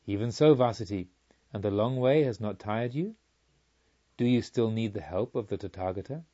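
Man as storybook holy teacher, solemn, serene, stately, relaxed dark tone, muffled audio. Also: lowish voice, pitched below the performer's own voice. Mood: gentle questioning. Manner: stately, deliberate questioning. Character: divine male teacher, serene, gently probing, solemn.

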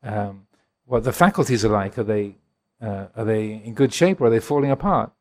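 A man in his sixties from England, a Buddhist monk giving a gentle, reflective dharma talk and answering questions at a retreat. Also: on the low side, moderate pace. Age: 60s. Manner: gentle, reflective, chuckles often.

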